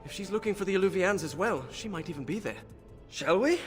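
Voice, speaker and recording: An actor playing an earnest young male mage scholar in a fantasy video game, speaking in a measured, explanatory way. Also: sits high, lively intonation. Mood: earnest, focused. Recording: game audio with long reverb under music.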